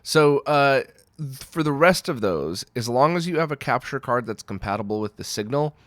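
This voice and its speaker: A man's voice: mid-range voice, lively intonation, normal speed, fairly bright tone, dry recording, clean studio sound. A man with a cold and a nasal voice, hosting a casual retro gaming Q&A and rambling through answers with fillers. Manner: rambling, self-deprecating, with frequent fillers and restarts. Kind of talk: casual Q&A talk.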